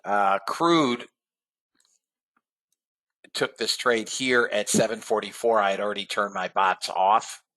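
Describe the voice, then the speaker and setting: Man, trading room host, casual webinar talk, slightly hoarse, low pitch, bright forceful tone. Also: slow pace, long pauses, rough recording. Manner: casual, addresses viewers as guys and fellas.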